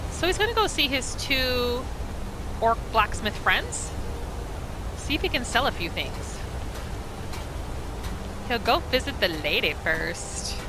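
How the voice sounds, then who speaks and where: A woman narrates a video game roleplay over clearly audible music. Her voice is fairly high and very animated, moving expressively as she reacts and tells the story informally. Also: low-quality recording. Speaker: gaming roleplay narrator and player.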